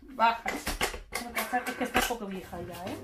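A woman's voice: on the high side, a little fast, loud music behind the voice. A woman, explaining a recipe step by step as she cooks at home, picked up by a camera mic across the kitchen. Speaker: cooking show host. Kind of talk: casual cooking demonstration. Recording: echoey kitchen with clattering noise.

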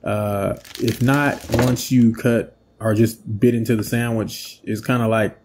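A man in his thirties hosting a home cooking channel, talking through a recipe in a relaxed, rambling way with lots of fillers. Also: on the low side, a little slow, background noise. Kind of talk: casual cooking voice-over and taste test. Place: indoor home set with plain backdrop.

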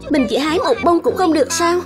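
Pouty little girl, high voice, defiant and huffy, kids cartoon, music behind. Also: fast. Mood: indignant. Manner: indignant, pouting. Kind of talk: dubbed children's cartoon acting.

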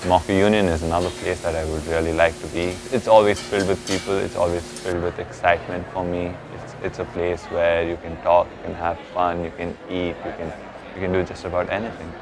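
A man's voice: low voice, flat delivery, brisk, low-quality recording. A young man from India with an Indian accent, speaking as a student in a promotional interview with music behind him. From Bangalore, India. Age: young adult. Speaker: university student.

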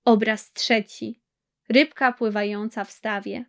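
A woman narrating a scripted children's fairy tale in a measured storytelling manner. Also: fairly high pitch, steady tone. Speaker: audiobook narrator. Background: plucked guitar music.

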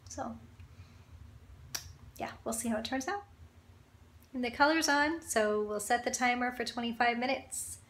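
A woman's voice: on the high side, fairly even intonation, unhurried, long pauses, fairly bright tone. A woman in her thirties chatting to the camera at home in a small room, talking straight to her viewers. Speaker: vlogging mom. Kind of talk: casual vlog to camera.

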